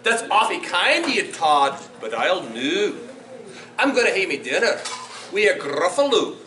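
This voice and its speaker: A man's voice: high-pitched, big pitch swings, moderate pace, sharp tone, exaggerated voice. A man voicing a small, cheeky, boastful brown mouse in Scots dialect for a story reading. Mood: polite, boastful. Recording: echoey room, faint noise.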